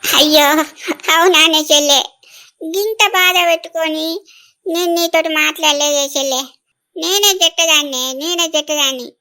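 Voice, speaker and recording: A woman's voice: high voice, steady tone, moderate pace, sharp tone. A woman with a Telangana accent acting an emotional, blunt and remorseful elder sister in a rural family drama, speaking plaintively with many exclamations. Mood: tearful remorse.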